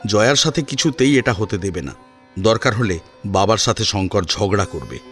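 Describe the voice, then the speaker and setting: Male narrator for a dramatic audio serial: mid-pitched, highly animated intonation, expressive scripted read over soft strings. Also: moderate pace. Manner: expressive storytelling. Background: soft sitar and plucked string music.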